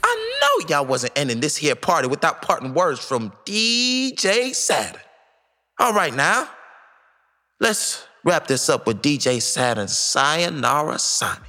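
A man's voice: on the high side, wildly swooping pitch, measured pace, pushed and bright, full character voice. A man playing a flamboyant space DJ emcee who performs in theatrical verse for an audio drama. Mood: hyped. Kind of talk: theatrical emcee, rhymed recitation.

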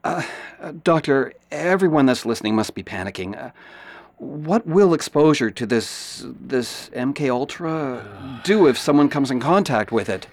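A man's voice: mid-range voice, expressive, normal speed. An actor playing a nervous, incredulous male radio host in an audio drama, hesitant and stammering. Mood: flustered.

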